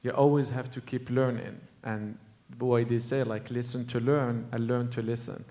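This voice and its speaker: A man in his early thirties, a musician on a panel, talking earnestly and haltingly, often acting out imagined voices. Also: medium pitch, steady tone, warm tone. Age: 30s. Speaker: Gnawa musician, panel guest. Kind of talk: casual panel conversation.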